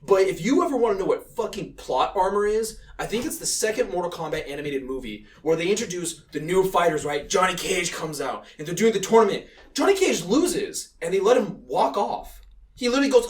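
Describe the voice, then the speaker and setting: Excitable guy podcasting, voice jumps around, swears, rambles, sounds far from the mic. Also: high-pitched, a little fast. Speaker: podcast host. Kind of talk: casual banter about games and films. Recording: roomy echo, distant from mic.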